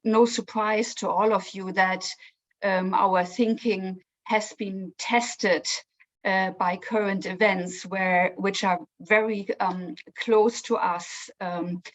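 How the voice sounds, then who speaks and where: German-accented woman with halting fillers. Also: medium pitch, rough recording. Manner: careful, many "um" pauses. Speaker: managing director, panelist. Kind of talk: video call panel talk.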